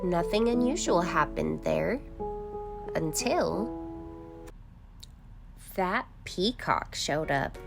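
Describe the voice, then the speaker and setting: A woman reading a children's storybook aloud as an expressive, deliberate narrator. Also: lowish voice, gravelly. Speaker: children's book reader.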